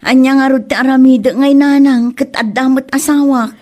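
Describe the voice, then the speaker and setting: A woman's voice: high voice, steady tone, moderate pace, darkish tone, natural voice. Woman acting a plaintive young Ilocano wife. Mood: defensive. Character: devoted, protesting young wife and mother. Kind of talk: Ilocano comedy drama acting.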